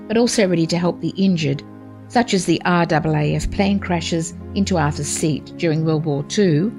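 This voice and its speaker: A woman narrates history in a steady, informative, scripted way. Her voice sits fairly low, and faint music plays underneath her.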